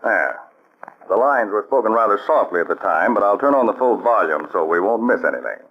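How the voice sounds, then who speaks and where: An actor playing a calm, authoritative male counterspy chief in a 1940s radio drama, measured and commanding. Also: on the low side, husky. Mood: businesslike. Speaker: lead agent. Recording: dull old radio broadcast.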